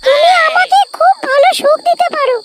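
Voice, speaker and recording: A man voicing a brazen, discontented village wife in a crude comedy cartoon, shrill and complaining, through an extreme pitch-raising effect. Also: a little fast, very noisy. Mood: coaxing. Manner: shrill, complaining, foul-mouthed. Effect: extreme pitch-raised cartoon voice. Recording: very loud music over the voice.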